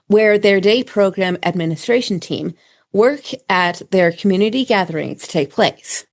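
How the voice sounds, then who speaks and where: A woman's voice: medium pitch, darkish tone. A woman radio host giving a scripted introduction and asking her guest questions. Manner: reads from a prepared script.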